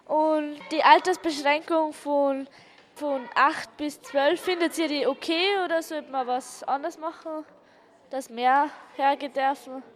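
A woman's voice: sits high, steady tone, a little slow. A young girl, asking questions in an informal radio interview. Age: child, about 8 to 12.

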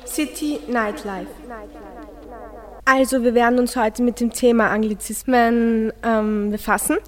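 A woman's voice: fairly high pitch, fairly even intonation, unhurried, in a large hall. A teenage girl, formally moderating a student radio discussion.

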